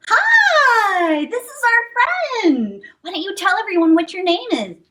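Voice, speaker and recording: A woman hosts storytime for young children in an extremely high voice. Her delivery is animated and swooping, playful and full of exclamations aimed directly at the kids. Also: slow pace.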